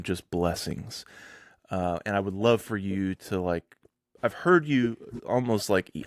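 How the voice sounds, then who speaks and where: Low-voiced man, rambling podcast host. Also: dry recording, clean studio sound. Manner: rambling, with many restarts and filler "like". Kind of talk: casual conversational interview.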